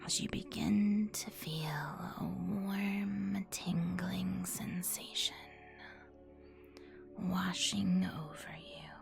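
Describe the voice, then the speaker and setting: A woman whispering an ASMR guided meditation as its host, in a soothing, instructional manner with long pauses. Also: on the low side, fairly even intonation, creaky. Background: music.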